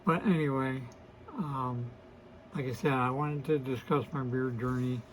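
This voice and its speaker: A man in his sixties with a hoarse, weakened voice, hosting a casual to-camera vlog and talking in a hesitant, apologetic way with many fillers and restarts. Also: medium pitch, steady tone, a little slow, a little hiss or hum. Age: 60s. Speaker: host of a beard channel.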